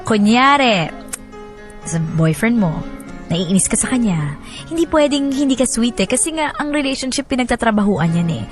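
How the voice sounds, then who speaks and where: A woman radio host with an expressive delivery, presenting relationship advice and letters on air. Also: on the high side, normal speed, soft background music.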